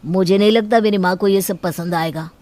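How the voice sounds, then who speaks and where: A woman voicing an evasive young male engineer in an audio story, measured and mildly insistent with his fiancée. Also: medium pitch, steady tone, a little mellow, natural voice. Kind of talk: acted Hindi audio story.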